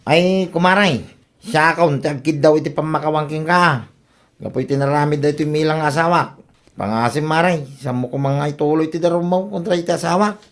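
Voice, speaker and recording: An actor with an Ilocano accent playing a reluctant, exasperated henpecked husband in a radio comedy drama. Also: male voice, fairly high pitch, a little fast, natural voice. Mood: grumbling.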